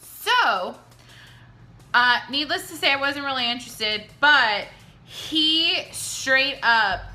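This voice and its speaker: A woman's voice: high voice, bright, forceful tone. A young woman with a Californian American accent, tipsy, telling stories and answering questions in a brash, sweary, slangy way with dramatic emphasis. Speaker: YouTube vlogger. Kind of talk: casual storytime Q&A.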